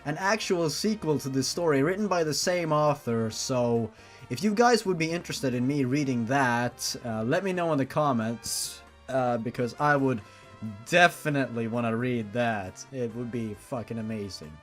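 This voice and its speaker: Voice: medium pitch, normal speed, faint music behind. A host giving casual comedic reaction commentary on amateur fiction, melodramatic and exasperated, swearing freely. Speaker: host of a fan fiction reading series.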